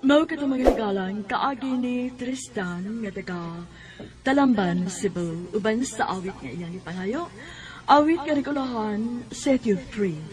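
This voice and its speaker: A woman's voice: fairly high pitch, lively intonation, unhurried, poor audio. A woman with a Cebuano accent hosting a radio drama, reading scripted announcements to listeners. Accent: Cebuano Filipino.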